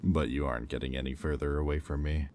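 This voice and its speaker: A man acts out a predatory male demon for a roleplay audio drama, with an extremely low, dark voice. He toys with his captive in slow, silky taunts, smug and in full control. There is faint noise behind him. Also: steady tone. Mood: coaxing, menacing. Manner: slow, teasing, silky taunting with deliberate pauses.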